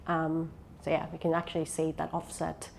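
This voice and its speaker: Low, gravelly woman on a panel. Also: completely flat delivery, moderate pace, a little mellow, slight background noise. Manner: adds points, hesitant with ums. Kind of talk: panel discussion.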